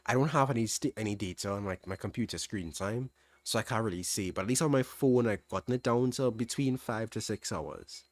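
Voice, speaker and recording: A young man with a mild Trinidadian accent answering chat questions on a livestream, relaxed, thinking aloud with restarts. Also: fairly low pitch, fairly quick, no echo. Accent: Trinidadian, fairly standard. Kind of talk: casual livestream Q&A.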